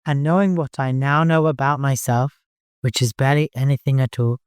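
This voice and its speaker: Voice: mid-range voice, fairly even intonation, fairly quick, clear, resonant tone, no echo, close to an everyday voice. A fierce, proud young woman in an audiobook story, put on by the narrator. She is defiant and speaks boldly, insisting on her way. The recording is dry. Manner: bold, forceful, insistent.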